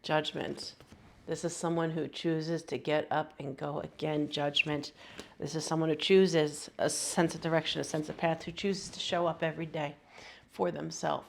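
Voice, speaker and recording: A woman's voice: deep voice, gravelly. A woman with a cold, sounding sniffly, giving a casual, rambling tarot reading full of slang and fillers. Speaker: tarot reader.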